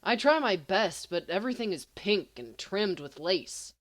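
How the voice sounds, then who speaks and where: A woman's voice: medium pitch, sing-song, measured pace. A woman reading the part of a lovesick, self-doubting teenage boy in a storybook, earnest and hesitant. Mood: anxious, sheepish.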